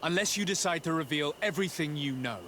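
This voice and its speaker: A stern noble legate. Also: male voice, fairly high pitch, fast, bright, forceful tone, slight background noise. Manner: formal, commanding. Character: authoritative male noble legate, composed. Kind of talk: video game voice acting.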